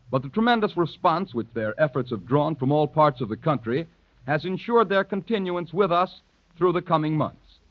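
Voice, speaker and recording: A man's voice: fairly high pitch, dry recording. An American man announcing formally and with careful enunciation as a network announcer on an old 1930s radio recording. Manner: formal, crisply enunciated proclamation. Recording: dull, dry vintage 1930s radio recording.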